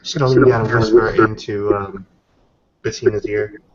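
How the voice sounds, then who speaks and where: A man's voice: on the low side, steady tone, measured pace, crowd noise. A man improvising narration and character lines in a roleplaying game, recorded on muffled audio. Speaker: tabletop roleplaying participant. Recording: muffled lo-fi audio, background noise.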